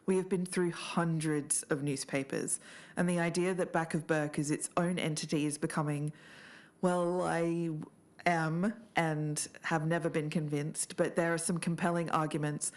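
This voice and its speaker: A low-pitched woman speaks as a podcast co-host. She narrates findings and reads quoted material to the listener in an even, low register. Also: moderate pace.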